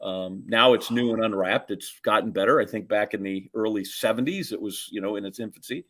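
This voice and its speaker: A man's voice: lowish voice, brisk, fairly bright tone. A middle-aged man. He co-hosts a podcast in a casual way. His talk wanders through folksy stories with many asides. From Wisconsin, United States. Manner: rambling, folksy storytelling. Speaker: podcast co-host. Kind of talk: casual chat with rambling anecdotes.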